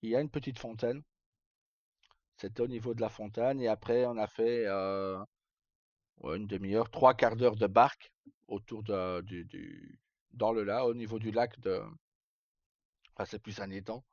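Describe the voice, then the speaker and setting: A man live streaming, casually telling roleplay stories in a rambling, halting way with pauses mid-sentence. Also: fairly low pitch, fairly even intonation, a little mellow. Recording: slightly muffled, roomy, faint music. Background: background music.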